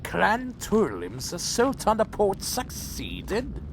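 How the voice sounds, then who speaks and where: A man's voice: sits high, wildly swooping pitch, slow pace, vocal fry, noisy background, exaggerated voice. A man playing a weary, proud winged vampire clan leader in a fan audio drama, speaking formally and broodingly. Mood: wry, clipped.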